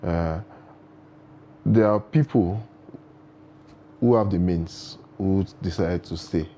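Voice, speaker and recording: A man's voice: sits low, slow, dark tone, slight background noise. A man speaking as a guest in a studio interview, giving earnest advice full of proverbs, with frequent restarts. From Nigeria.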